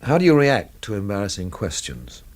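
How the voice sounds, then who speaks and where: An actor playing a wry, world-weary investigator in a radio drama, with a dry, literary, laconic delivery. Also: male voice, on the low side, huge pitch swings, normal speed. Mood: probing, dry. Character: wry, world-weary male investigator narrating his own inquiry. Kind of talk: radio drama narration and dialogue.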